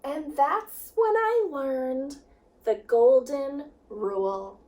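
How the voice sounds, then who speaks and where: A woman reads a children's story in a high voice, taking her time over every phrase. Her delivery is expressive and swings widely, with dramatic pauses between lines. She sounds set back from the microphone. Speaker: children's director reading a picture book. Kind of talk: children's story read-aloud. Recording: distant microphone.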